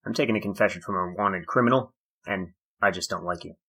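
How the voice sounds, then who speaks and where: Husky, sarcastic detective. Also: male voice, low-pitched, fast talker, a bit bright, exaggerated voice. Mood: dour needling. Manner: dry, needling sarcasm. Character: dour, sarcastic male police detective taking a confession. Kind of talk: 1920s noir comedy audio drama. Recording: music behind the voice. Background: background music.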